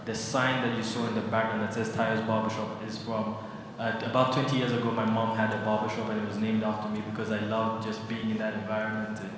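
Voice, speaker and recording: A fashion designer speaking on a conference panel, thoughtful, with many fillers and restarts. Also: male voice, on the low side, monotone, quick pace. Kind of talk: panel discussion. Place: large conference hall on stage. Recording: very echoey hall with background noise.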